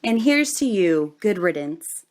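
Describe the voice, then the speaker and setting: A woman's voice: medium pitch, sing-song, unhurried, a little mellow. A woman playing a besotted young wife in a horror comic drama, speaking in a theatrical, melodramatic way. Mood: cold, scornful. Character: besotted young wife, cold at first, then gushing with adoration.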